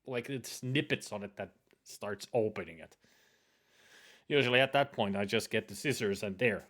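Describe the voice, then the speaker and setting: A man's voice: mid-range voice, a bit bright. A Scottish man chatting casually while playing a game, rambling with many fillers and frequent laughs. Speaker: let's play co-host. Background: faint background music.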